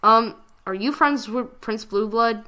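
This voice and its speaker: Woman doing female cartoon pony, storybook reading, bubbly, friendly, eager, high bright voice. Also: unhurried. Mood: friendly curiosity. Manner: gleeful, eager.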